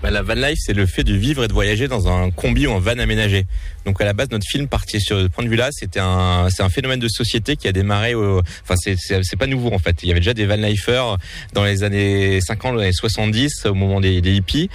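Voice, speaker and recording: A man's voice: lowish voice, fast, no room sound. A man guest on a radio interview, earnestly explaining his subject and often restarting his sentences. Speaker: documentary filmmaker guest.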